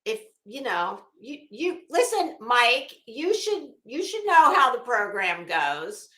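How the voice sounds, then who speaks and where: Casting: female livestream host, middle-aged, slightly high and slow-paced, chatty and teasing, roomy echo. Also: sing-song, fairly bright tone. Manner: chatty, teasing banter, salty language. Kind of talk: casual livestream chat, greeting viewers. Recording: echoey room.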